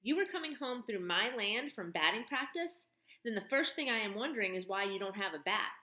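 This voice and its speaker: A woman's voice: mid-range voice, expressive, fairly quick, bright, forceful tone. A woman narrator voicing a fierce, sly one-legged woman who questions a boy sharply and mockingly. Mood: interrogating. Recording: roomy echo.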